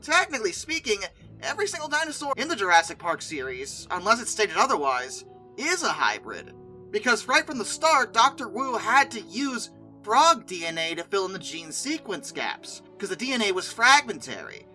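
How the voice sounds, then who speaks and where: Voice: medium pitch, sing-song, moderate pace, pushed and bright, faint music behind, poor audio. A video host giving casual, opinionated commentary in a conversational, emphatic way with exasperated asides.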